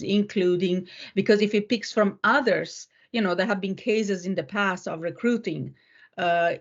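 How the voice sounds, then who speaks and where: A woman in a professional panel discussion, speaking reflectively and haltingly, rephrasing as she goes. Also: lowish voice, highs cut off.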